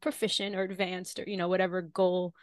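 A woman's voice: fairly low pitch, level tone, a little fast, no room sound, studio quality. A woman hosting a podcast interview in a casual, conversational way, rephrasing and elaborating as she goes.

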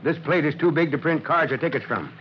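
An actor playing a steady, fatherly American fire chief, measured and commanding, in an old radio drama. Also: a little high, a little hiss or hum, natural voice. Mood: firm, cautious. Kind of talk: 1940s-50s radio drama acting. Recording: muffled old radio recording.

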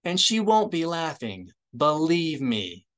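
Man playing a cocky female hustler, raised pitch, wry, breezy, shrewd, audiobook character. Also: big pitch swings, takes it slowly, fairly bright tone, full character voice. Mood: confident. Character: brash, shrewd woman hustler striking a hard bargain.

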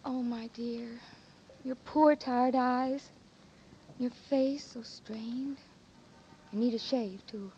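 Anxious, lovestruck young woman for vintage radio melodrama; high, husky, breathless voice on a muffled old broadcast. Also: steady tone, slow pace, long pauses, dark tone, slight background noise. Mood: tender, pleading. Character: devoted, anxious young woman in love, ex-nurse. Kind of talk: 1940s radio drama acting.

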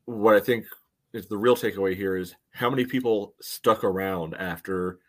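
A man's voice: fairly low pitch, moderate pace, a bit bright. A man co-hosting a sports podcast in casual, conversational, informal talk.

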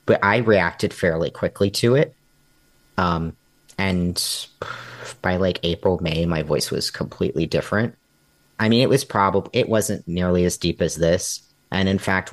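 A young man speaking as an interview guest, thinking out loud with many pauses and ums. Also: low-pitched, dry recording. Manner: hesitant and reflective, many ums. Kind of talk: candid personal interview.

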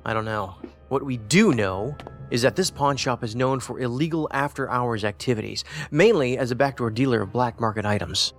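An actor playing an analytical, aloof federal investigator in an audio drama, with a deliberate, cerebral manner. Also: male voice, medium pitch, faint music behind, close to an everyday voice. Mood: businesslike.

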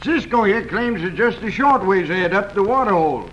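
An actor playing a gruff, suspicious American sheriff in an old-time radio western. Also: male voice, sits high, lively intonation, brisk, fairly bright tone, dull audio, a little hiss or hum. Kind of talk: 1950s radio western acting.

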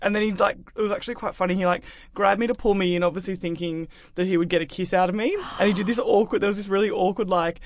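A woman's voice: medium pitch, fairly even intonation, quick pace, few pauses, a little hiss or hum. Chatty Australian woman telling a story. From Melbourne, Australia. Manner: chatty, filler-heavy, laughing through her story. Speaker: guest. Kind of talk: casual storytelling chat.

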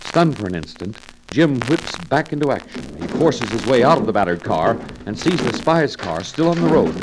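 A man narrating an old radio adventure serial with emphatic, dramatic declamation. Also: on the low side. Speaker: announcer and narrator. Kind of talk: 1940s-50s radio serial scripted narration. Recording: crackly old radio recording.

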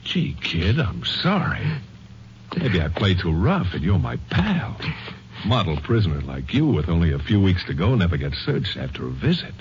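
An actor playing a menacing, sarcastic convict killer with tough gangster slang in a 1950s radio crime drama. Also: male voice, low-pitched, very animated, moderate pace. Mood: mock friendly. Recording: muffled, noisy vintage radio recording.

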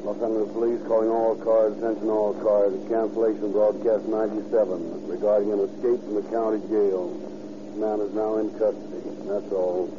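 Low, monotone male police dispatcher. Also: normal speed. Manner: flat, official. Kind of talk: clipped 1930s police radio bulletin. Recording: muffled, very noisy old radio recording.

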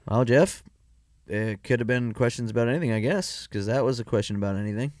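A man's voice: medium pitch, brisk, a little mellow, dry recording, studio quality. A young man co-hosting a podcast, reading listener questions and joking around in loose, profane chat. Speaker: podcast co-host.

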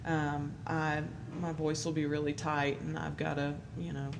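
A woman singer in casual conversation, agreeable and quick to laugh, saying yeah often. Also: sits low, little pitch movement, vocal fry. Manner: agreeable, many yeahs and small laughs. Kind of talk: casual interview chat. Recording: noisy background.

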